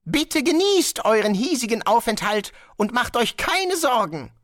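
A man voicing a calm, polite guest master who calmly announces dreadful things, in an audiobook. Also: very high-pitched, no room sound, studio quality, pitched above the performer's own voice, exaggerated voice. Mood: smoothly menacing. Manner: courteous, unhurried, casually sinister. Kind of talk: audiobook character voice.

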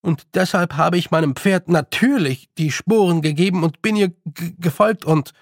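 Man voicing a haughty, nervous young boy, slightly husky. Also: a little high, normal speed, dry recording, clean studio sound, voice pushed up. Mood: sheepish. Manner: hesitant, stammering, slightly haughty. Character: young boy, lordly but naive and nervous. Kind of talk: audiobook character voice.

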